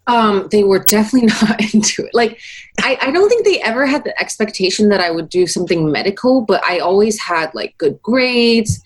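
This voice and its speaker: A young woman in her twenties, born in Taiwan, speaks as a musician guest in a relaxed interview. Her voice sits in an ordinary middle pitch, and her speech is loose and rambling, full of fillers and easy laughter. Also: a little fast. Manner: chatty, many fillers, laughs often. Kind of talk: casual interview chat.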